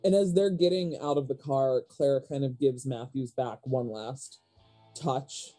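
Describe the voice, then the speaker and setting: A woman's voice: a bass voice, a little slow, warm tone, soft background music. A woman playing a tabletop roleplay game, chatting casually and improvising narration for her character.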